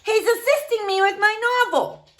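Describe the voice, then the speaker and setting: A woman voicing an exasperated, sharp-tongued mother in a storybook, with shocked exclamations and scolding. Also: very high-pitched, moderate pace, voice pushed up.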